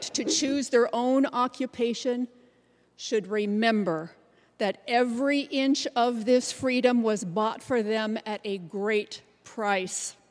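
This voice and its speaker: An American woman performing a scripted reading in a readers theater. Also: a little high. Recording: reverberant hall recording.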